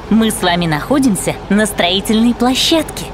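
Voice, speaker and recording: A woman narrating a children's cartoon as host, explaining things in an animated storytelling way. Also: medium pitch, normal speed.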